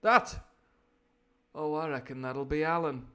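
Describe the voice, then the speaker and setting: Man voicing a sly storybook pirate, cunning, wary, raised pitch, forceful, exclamatory. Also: steady tone, measured pace, clear, resonant tone. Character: wary, cunning one-legged pirate, smiling menace.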